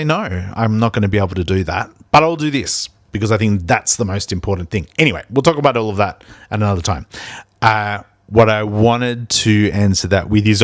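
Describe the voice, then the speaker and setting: Aussie teacher guy chatting on his podcast, big lowish voice, lots of ums and restarts, dry sound. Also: lively intonation, moderate pace, dry recording. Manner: rambling, many ums and restarts. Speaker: primary school teacher and podcast host. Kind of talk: reflective casual podcast chat.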